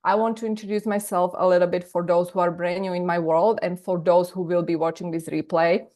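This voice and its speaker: A woman's voice: on the low side, fairly quick. Slovak lady coach on a zoom call, upbeat and emphatic, says things twice. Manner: energetic, emphatic repetition. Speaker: business coach. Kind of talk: live online masterclass talk. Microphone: video call.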